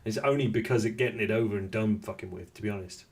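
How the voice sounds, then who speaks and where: A man's voice: lowish voice, quick pace. Man in rambling, jokey podcast chat. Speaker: podcast host. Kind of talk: casual wrestling podcast chat.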